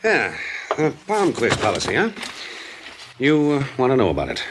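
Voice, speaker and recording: A man's voice: medium pitch, moderate pace, background noise. Breezy, joking insurance man. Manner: breezy and joking, with lively swings. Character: chatty, joking male insurance office man. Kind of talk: 1950s radio drama acting.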